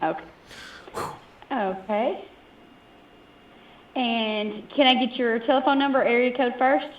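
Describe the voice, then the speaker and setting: A woman's voice: fairly high pitch, slow pace. A woman working as a customer service agent, chatting and taking details over the telephone. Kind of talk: phone order-taking chat. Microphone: heard over a telephone call. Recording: dull, roomy, distant phone call with noise.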